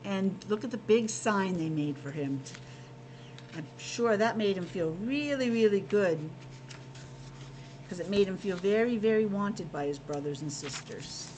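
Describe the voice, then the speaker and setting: A woman teacher reading and explaining a storybook to young children in an expressive, child-directed way. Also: medium pitch, measured pace, background noise. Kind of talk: storybook narration with simple explanations.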